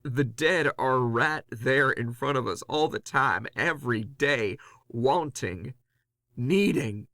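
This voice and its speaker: A haunted man played by an actor speaks in a tense, forceful voice with an average pitch. His horror monologue is halting and anguished, recorded close and dry. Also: no echo. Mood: desperate dread. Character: Robbie, haunted, panicked man recording his confession on tape. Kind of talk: first-person horror audio drama monologue.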